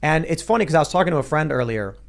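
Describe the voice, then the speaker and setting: A man hosting a panel show, chatting casually and getting animated, his voice jumping up when excited. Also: fairly high pitch, very fast, vocal fry. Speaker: show host.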